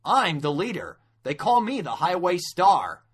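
A man acts as a young anime highway cop who loves to boast. His voice is fairly high and swings widely. He sounds smug and theatrical, with a slight husk. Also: huge pitch swings, measured pace, husky, exaggerated voice. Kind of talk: amateur anime dub reading.

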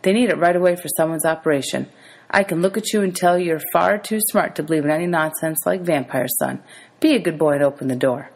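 A woman voicing a kindly, teasing man in a storybook, animated and wordy, given to exaggeration. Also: low-pitched, fast talker. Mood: earnest, relieved. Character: grown man, teasing, exasperated, kindly motorist.

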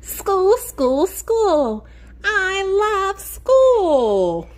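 A woman teacher reading a picture book aloud to young children in an expressive sing-song way, encouraging them and asking them questions. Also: extremely high pitch. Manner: expressive, sing-song, drawn-out key words; encouraging, addresses children directly.

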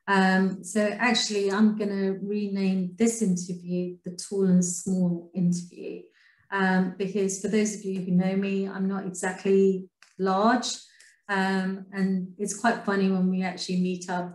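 A woman's voice: medium pitch, a little mellow, echoey room, low-quality recording. A woman in her thirties hosting a video call interview, friendly and chatty with frequent ums. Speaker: interviewer host. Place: indoor room.

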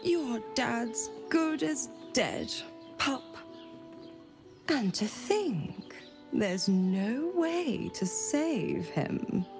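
An actress playing a smug, taunting devil in a video game, with a theatrical, mocking delivery. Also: female voice, on the high side, very animated, drawn-out words, over music, exaggerated voice. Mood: mocking, coy. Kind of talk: video game cutscene acting.

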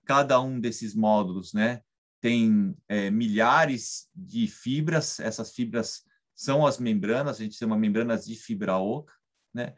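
A man's voice: on the low side, a little slow. Brazilian man giving an online lecture. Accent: Brazilian Portuguese. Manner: explanatory, frequent tag questions. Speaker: engineering guest lecturer. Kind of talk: online technical lecture over slides. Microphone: webcam on video call.

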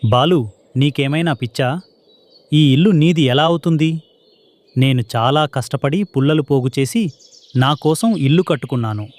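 A man's voice: on the high side, moderate pace, pauses often, darkish tone, close to an everyday voice. A man voicing an earnest, hardworking male sparrow, a husband and father, in a children's cartoon story.

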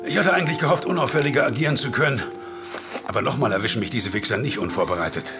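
Man playing hard-boiled, cynical investigator, medium-pitched. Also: fast talker, natural voice. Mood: matter-of-fact, resolute. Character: hard-boiled, cynical male American investigator, knowing and wary. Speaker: supporting actor. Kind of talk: German audio drama dialogue. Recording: faint music behind the voice.

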